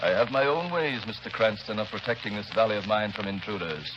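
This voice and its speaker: A smooth, domineering villain, played by a man in a 1930s radio drama. The voice sits low and carries an oily, insistent courtesy. The old broadcast sounds muffled, with a steady hiss underneath. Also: fairly quick, natural voice. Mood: menacing. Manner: smooth, domineering, overly solicitous. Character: sinister controlling rich host, man.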